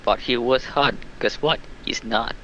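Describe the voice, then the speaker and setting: A man putting on a dopey, forceful tough-guy voice for jokes on a stream. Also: mid-range voice, unhurried. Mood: grave, emphatic. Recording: muffled, faintly noisy stream audio.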